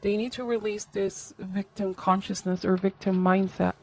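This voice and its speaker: A woman's voice: mid-range voice, fairly even intonation, moderate pace, warm tone. A woman hosting a conversational tarot reading, speaking to the viewer in a reflective, advisory way and often checking in with short tags. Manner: reflective, advisory, seeks agreement with tags like okay and right. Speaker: tarot reader, host.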